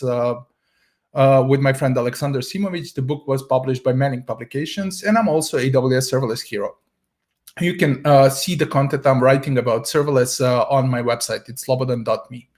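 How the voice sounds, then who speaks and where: A man's voice: medium pitch, a little mellow. A man from Serbia, an English speaker, giving a conference talk over a video call in a storytelling way with frequent hesitations. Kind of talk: tech conference presentation.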